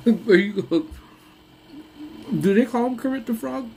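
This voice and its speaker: A man's voice: very high-pitched, darkish tone, slight background noise. A young man hosting a casual lunch-break live stream, rambling and laughing often, with a voice that sounds congested. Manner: rambling, laughs often, trails off mid-thought.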